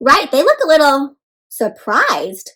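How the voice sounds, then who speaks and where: A woman storyteller guides children through a picture book. Her voice is high and highly animated in pitch. She keeps checking in with questions and leaves gaps for young listeners to answer. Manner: asks listeners questions, pauses, addresses children as friends. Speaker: read-aloud storyteller and teacher. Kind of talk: interactive children's read-aloud.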